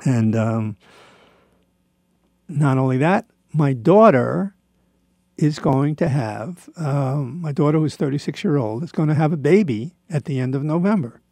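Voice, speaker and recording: A man in his seventies giving a rambling personal monologue in a halting, reflective, self-deprecating way, with a gravelly voice. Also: fairly high pitch, expressive, a little mellow, no room sound. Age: 70s.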